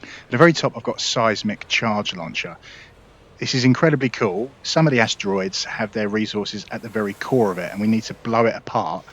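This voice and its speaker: A man's voice: lowish voice, a little fast, a bit bright, husky. A man hosting a casual gameplay tutorial, explaining things in a chatty, upbeat way. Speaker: gaming video host.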